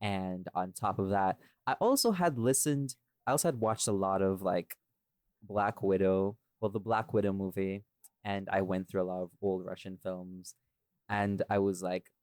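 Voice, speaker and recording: A man's voice: sits low, moderate pace, a little mellow, no echo, clean studio sound. A man chatting casually in a rambling way, often interrupting himself and repeating phrases. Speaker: podcast guest, voice actor. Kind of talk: casual chat.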